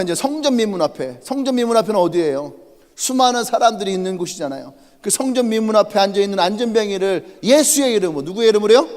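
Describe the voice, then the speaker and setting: A male pastor with a Chungcheong accent preaches in a high, lively voice that rises and falls as he tells the story with humor. He keeps putting questions to the congregation, and a long church reverb hangs on his words. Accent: Chungcheong Korean. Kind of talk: sermon. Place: church sanctuary.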